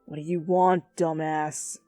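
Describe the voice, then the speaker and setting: A woman voicing a gruff, sarcastic young man with a curt, low delivery, an irritable boyfriend in an anime fan fiction reading. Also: little pitch movement, a little slow, dark tone, dry recording, soft background music. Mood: irritated.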